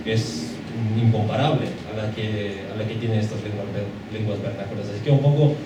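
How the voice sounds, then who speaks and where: A man's voice: fairly low pitch, fairly even intonation, moderate pace. A man who is not a native Spanish speaker, asking a long, hesitant question from the audience at a lecture. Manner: hesitant, many fillers and restarts. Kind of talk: formal question from the floor. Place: lecture hall. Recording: echoey hall, distant mic, background noise.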